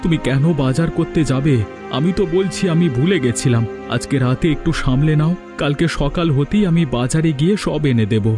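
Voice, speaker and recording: A man's voice: on the high side, a little fast, close to an everyday voice. A man voicing a poor, put-upon young village husband in a cartoon, pleading and defensive. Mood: apologetic. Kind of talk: scripted cartoon dialogue. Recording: music behind the voice.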